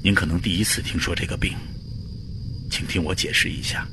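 Over noticeable background noise comes a man playing a middle-aged engineer and desperate father. His voice is low, and he pleads in a halting, emotional way, in radio drama style. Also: steady tone, natural voice. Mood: anguished, pleading.

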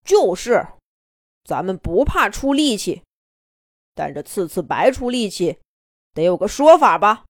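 A woman voicing an adult wolf who bluntly and loudly challenges the pack's king. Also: medium pitch, sing-song, a little slow, long pauses, no room sound, clean studio sound. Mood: defiant. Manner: loud, blunt, mocking.

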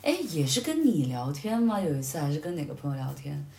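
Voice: medium pitch, very animated, quick pace, gravelly, darkish tone, a little hiss or hum. Podcast host just chatting along casually, mid voice, kinda echoey room. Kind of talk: casual conversation, attentive listening with short acknowledgements.

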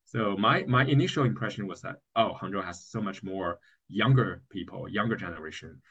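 A man from China with a Chinese accent in a casual podcast discussion, speaking haltingly and repeating words as he searches for phrasing. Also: deep voice, a bit bright, slightly rough, lo-fi sound. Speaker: podcast co-host.

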